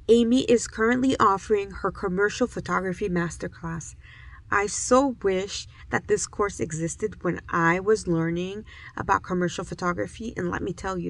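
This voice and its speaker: A woman's voice: medium pitch, vocal fry, a bit bright, no echo. A woman from Haiti who hosts a podcast, speaking in an earnest, effusive way as she introduces the show and tells her story. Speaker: podcast host. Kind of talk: podcast intro and interview answers.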